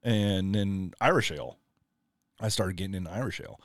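A man's voice: sits low, brisk, no echo, studio quality. A man telling a long personal story in casual chat, rambling with lots of "like" and "you know". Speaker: podcast guest.

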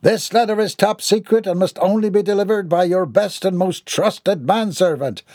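Man putting on a pompous, indignant, high-pitched ranter. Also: normal speed, a little mellow, dry recording, studio quality. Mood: imperious. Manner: declamatory, grandiloquent, florid formal diction. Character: pompous, indignant, grandiose eccentric man ranting.

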